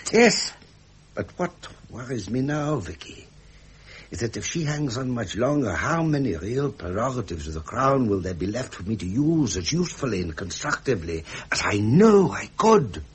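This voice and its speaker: An actor playing a worldly, frustrated middle-aged royal heir in a 1970s British radio drama, with a husky British voice and formal, dryly ironic phrasing. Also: male voice, mid-range voice, sing-song, normal speed. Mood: earnest, frustrated.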